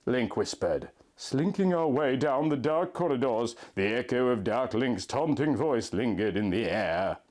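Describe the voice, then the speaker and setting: Lively man reading a fan story. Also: medium pitch, measured pace, few pauses. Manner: mock-dramatic, lively delivery.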